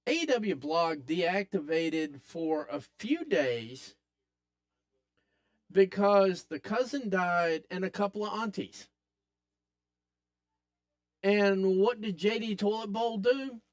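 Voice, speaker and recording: A man with a raspy voice hosting a live wrestling watch-along podcast, excitedly calling the action and chatting with viewers. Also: high-pitched, slow pace, long pauses. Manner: excitable, hypes up the action, talks to chat. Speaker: podcast host.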